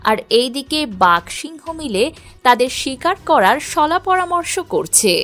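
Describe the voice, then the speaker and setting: A woman's voice: sits high, sing-song, a little slow, fairly bright tone, faint music behind. A woman narrating a scripted children's story as the narrator.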